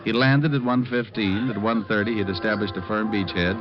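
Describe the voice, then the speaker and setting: A low-voiced, cocky private eye played by a male actor in 1940s radio noir style. He is wry and sardonic with a clipped delivery. The recording is dull and old, with music behind it. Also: steady tone, brisk, lightly acted. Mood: wry narration. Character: wisecracking, cocky hard-boiled male private detective. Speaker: narrator and lead actor.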